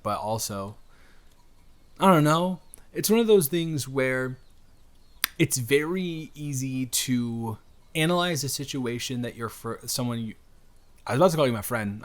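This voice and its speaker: A man playing a friendly, flirty stranger in an improvised audio roleplay, talking in a rambling, hesitant, earnest way with gentle teasing. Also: fairly low pitch, natural voice. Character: cute friendly male stranger at pumpkin patch, wholesome, flirty, reassuring. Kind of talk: improvised intimate audio roleplay spoken to the listener.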